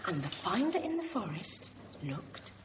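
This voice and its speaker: An actress playing a gentle infant teacher who reads a storybook aloud to small children, expressively, in a radio drama. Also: female voice, medium pitch, very animated, unhurried. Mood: wondering, storytelling. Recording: roomy echo, dull, noisy.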